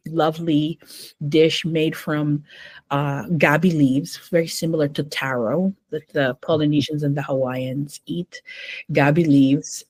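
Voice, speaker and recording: A woman's voice: low-pitched, dry recording. A middle-aged woman from Metro Manila, a novelist and mother, chatting easily in an interview and telling family stories with many fillers. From Philippines, Metro Manila. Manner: warm, storytelling, many fillers. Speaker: podcast guest, novelist. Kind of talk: casual interview conversation.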